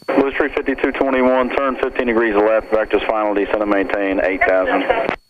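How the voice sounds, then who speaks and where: A man's voice: lowish voice, level tone, normal speed, narrow, tinny audio. A man working as an air traffic controller, giving clipped, businesslike instructions over an aviation radio. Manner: businesslike, procedural. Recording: band-limited noisy aircraft radio.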